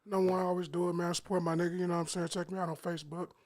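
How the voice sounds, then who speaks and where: Quick flat-voiced man plugging himself. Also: high-pitched. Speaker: podcast guest's friend. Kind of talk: casual self-promotion.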